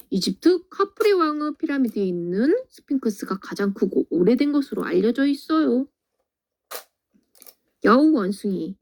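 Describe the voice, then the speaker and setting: A woman teacher reading a children's picture book aloud, her slightly high voice swooping up and down in a sing-song way. She sounds warm and mellow, gently asking young listeners questions as she goes.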